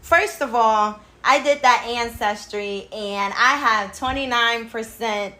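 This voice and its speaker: Young adult woman, bright and crisp, emphatic casual talker. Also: a little high, slow. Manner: animated and emphatic. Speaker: daughter. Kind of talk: casual conversation.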